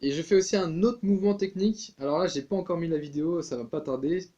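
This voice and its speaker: Casual male music teacher, slightly high voice, chatty with lots of fillers. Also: quick pace, mellow, lo-fi sound. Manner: chatty, many fillers and restarts. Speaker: tin whistle teacher.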